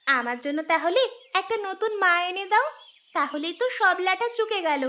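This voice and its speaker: A woman's voice: very high-pitched, expressive, normal speed, pushed and bright, dull audio, full character voice. A woman voicing a sweet, patient young village girl in a cartoon story. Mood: calm, reassuring. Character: dutiful young village girl caring for widowed father. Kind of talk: Bengali cartoon story dialogue. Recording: clear music bed behind voice.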